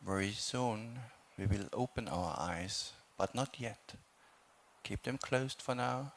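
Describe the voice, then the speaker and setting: A man teaching a guided meditation, speaking in calm, measured phrases with long pauses between them. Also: fairly low pitch, a little hiss or hum. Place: meditation hall.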